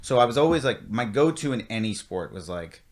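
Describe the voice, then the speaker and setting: A man from Virginia hosting a podcast, chatty and quick to jump in with quoted asides. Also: mid-range voice, big pitch swings, darkish tone. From Virginia, USA. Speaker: podcast host. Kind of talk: casual chat.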